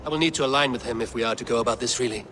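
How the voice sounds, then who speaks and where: Brisk, determined Viking warrior played by a man. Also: medium pitch, pushed and bright, slight background noise, lightly acted. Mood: grave, determined. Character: determined Viking warrior hunting a secret order, grave, steady. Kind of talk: video game cutscene acting.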